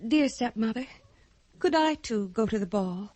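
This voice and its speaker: An actress playing a meek, gentle young servant girl who pleads politely, in a 1950s fairy-tale radio drama. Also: a little high, lively intonation, unhurried. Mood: meek pleading.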